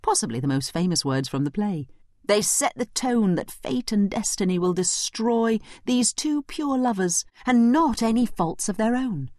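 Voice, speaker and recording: Female narrator, educational commentary, expressive swooping pitch, conversational, explanatory, dry recording. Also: moderate pace, dry recording. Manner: animated, explanatory. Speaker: narrator and study guide commentator.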